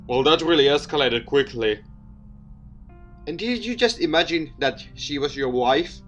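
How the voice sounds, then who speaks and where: A man's voice: a little high, expressive, normal speed, a bit bright, lo-fi sound, soft background music. A man doing a let's play, reading a visual novel aloud in a theatrical, jokey way and interjecting his own comments. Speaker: video game let's player.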